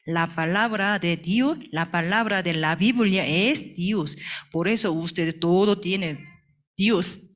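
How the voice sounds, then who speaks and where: A woman from South Korea with a Korean accent and Korean as her first language, teaching the Bible to a congregation in halting, simple phrases with short check questions. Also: fairly low pitch.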